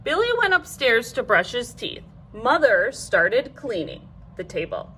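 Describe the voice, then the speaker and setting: High, bright woman reading a storybook. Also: unhurried, slight background noise. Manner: animated, clearly phrased storytelling. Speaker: teacher reading a picture book aloud. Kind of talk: expressive children's storybook read-aloud.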